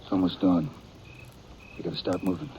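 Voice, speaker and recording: Low, hushed, cold-blooded outlaw, acted by a man. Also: brisk, natural voice. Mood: hushed, calculating. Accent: American. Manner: hushed, terse, flatly menacing. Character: cold, calculating outlaw killer, a younger brother. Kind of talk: 1950s radio western acting. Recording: muffled, noisy 1950s radio recording.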